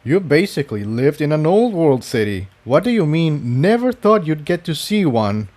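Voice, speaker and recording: A man's voice: a little high, very animated, moderate pace, a little mellow, voice pushed up. A man voicing a good-humored male pony adventurer with a casual, wry manner in a story reading. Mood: quizzical.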